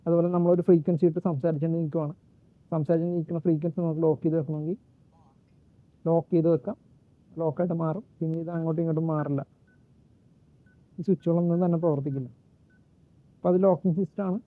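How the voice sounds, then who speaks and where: A man giving a casual, unscripted gadget walkthrough in a halting way, searching for words and correcting himself. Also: a little high, level tone, no room sound. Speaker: gadget reviewer and demonstrator. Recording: muffled phone-like narrow-band sound.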